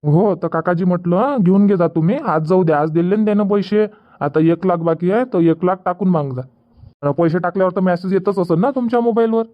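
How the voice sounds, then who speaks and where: A man's voice: high-pitched, brisk, lightly acted. A man playing plain-spoken village orange farmers in a cartoon comedy, chatting colloquially with a Varhadi Marathi accent from Vidarbha. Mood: earnest. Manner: colloquial, repetitive rustic chatter. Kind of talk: dubbed cartoon comedy dialogue. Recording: dull lo-fi audio.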